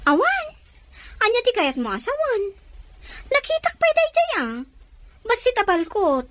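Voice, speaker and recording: A woman's voice: very high voice, huge pitch swings, a little slow, exaggerated voice. A woman playing a nosy, talkative character in an audio drama. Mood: inquisitive. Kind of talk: Ilocano audio drama dialogue. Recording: loud music under the voice. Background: music.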